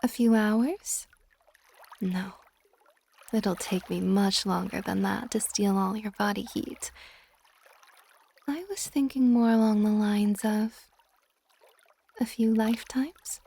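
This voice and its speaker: A woman voicing an ancient, possessive but tender water dragon goddess in a soft, intimate ASMR romance roleplay, often slipping toward a whisper. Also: mid-range voice, fairly even intonation, takes it slowly, gravelly, pauses often, slightly rough, full character voice. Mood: possessive, coaxing.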